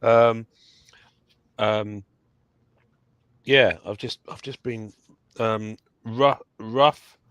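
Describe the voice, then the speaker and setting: A man's voice: lowish voice, steady tone, very slow, pauses often, fairly bright tone, smooth, dry recording, highs cut off. An English man co-hosting a live podcast, chatty and joking, a little throaty from a cold. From England. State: getting over a cold, throaty. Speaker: podcast co-host. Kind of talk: casual live-stream chat.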